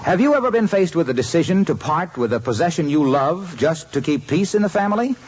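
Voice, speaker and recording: A man's voice: a little high, lively intonation, fairly quick, husky. A male radio announcer giving an earnest, polished 1950s ad read. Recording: dull old radio broadcast with light hiss.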